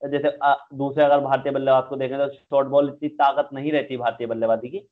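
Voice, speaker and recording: Even-toned young man on a phone-like line. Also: medium pitch, fast. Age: young adult. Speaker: cricket analyst. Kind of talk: conversational match analysis. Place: indoors, small room, video call. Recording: thin phone-like video call audio.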